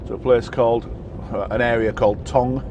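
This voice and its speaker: Rambling Yorkshire trucker in a noisy cab. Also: male voice, medium pitch, steady tone, fairly quick, highs cut off. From Yorkshire, England. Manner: chatty and rambling, with many ums and restarts. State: tired. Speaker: truck driver vlogger. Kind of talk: casual chat while driving. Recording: engine and road noise in a truck cab.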